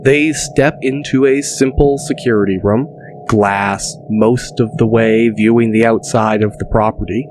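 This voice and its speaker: Slow male audiobook narrator over music. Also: on the low side, steady tone, a little mellow. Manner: deliberate, descriptive. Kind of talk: dramatic scripted audiobook narration.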